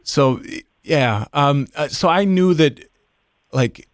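A man in his fifties telling a personal story as a podcast guest in a reflective, halting way. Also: fairly high pitch, expressive, smooth, dry recording, studio quality. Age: 50s.

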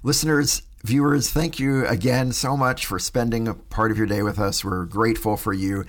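A man co-hosting a podcast in casual chat, agreeable and quick to affirm, thanking listeners. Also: fairly low pitch, steady tone. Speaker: podcast co-host.

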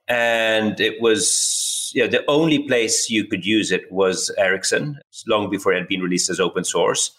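A man's voice: fairly low pitch, a bit bright. A middle-aged Italian-born man whose English accent blends British, American and Swedish traces, telling the story of his career as a podcast guest, thoughtful and full of "you know". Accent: mixed British, American and Swedish English. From Italy. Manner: thoughtful, repeats words as he thinks, many "you know" fillers. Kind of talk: casual interview, recounting his career.

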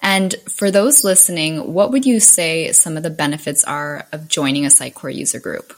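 A woman's voice: deep voice, normal speed. A woman from Argentina hosting a podcast interview, asking questions in a friendly, upbeat way.

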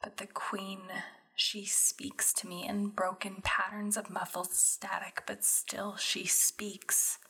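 A woman playing an eerie maternal spirit in an audio drama, speaking in an ominous, cryptic, breathy whisper. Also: mid-range voice, little pitch movement, low-quality recording. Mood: eerie, insistent. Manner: slow, cryptic, chant-like repetition. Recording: whispers under loud eerie music.

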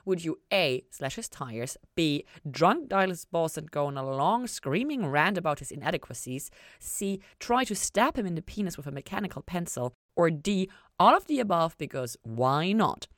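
Story role: caring, dryly funny woman therapist , read by a female narrator, measured wording, varied pitch. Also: animated, no echo, clean studio sound. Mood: wry, exasperated. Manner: articulate, gently prompting, dryly humorous.

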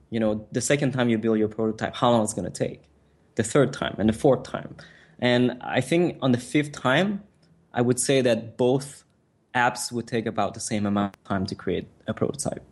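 A man whose first language is French, answering interview questions in a quick, conversational way with frequent restarts. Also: medium pitch. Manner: fast, fluid, with frequent restarts and "like". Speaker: author and designer, interview guest.

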